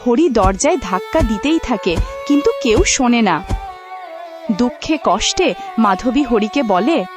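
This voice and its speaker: Expressive woman storybook narrator. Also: a little high, measured pace, music in the background.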